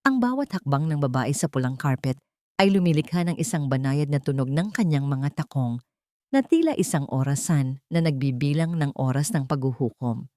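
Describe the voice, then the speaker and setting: A woman's voice: low-pitched, lively intonation, normal speed, warm tone, no room sound, studio quality. A woman with a Filipino accent reading a dramatic scripted story as an audiobook narrator.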